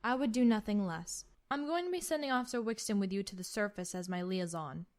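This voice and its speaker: Calculating female admiral acted by a woman, mid-pitched, formal, faintly condescending, for a sci-fi roleplay. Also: fairly quick, close to an everyday voice. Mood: cool, calculating. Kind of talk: fan-made sci-fi roleplay drama.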